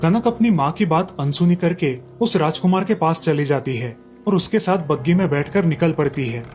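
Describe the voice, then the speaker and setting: A man narrating a moral story for a cartoon in a steady storytelling manner. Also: fairly high pitch, moderate pace, faint music behind.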